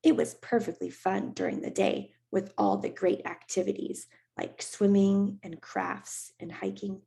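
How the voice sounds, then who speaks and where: A quiet, prim young girl with a cool, faintly haughty way of talking. A woman reading the storybook aloud plays her, slow and with a husky fry. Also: mid-range voice, flat delivery.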